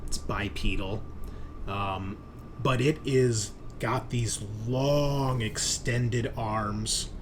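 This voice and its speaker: A man game mastering a tabletop horror session, speaking in a halting, descriptive and casual way. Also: mid-range voice, fairly even intonation. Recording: noticeable background noise.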